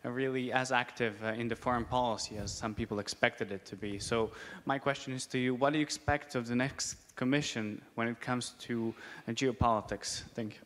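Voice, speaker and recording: A man from Serbia asking a question from the audience floor, polite and formal, hesitating between phrases. His voice is mid-pitched. The long reverb of a big hall surrounds it. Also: steady tone, a little fast, hardly any pauses, fairly bright tone. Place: large conference hall.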